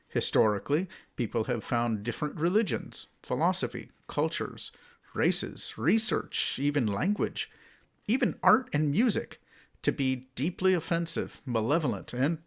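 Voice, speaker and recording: A man's voice: mid-range voice, a bit bright. Emphatic man narrating, widely swinging intonation. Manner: emphatic, declarative, deliberate phrasing. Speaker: author reading own essay. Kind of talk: scripted narration of an essay.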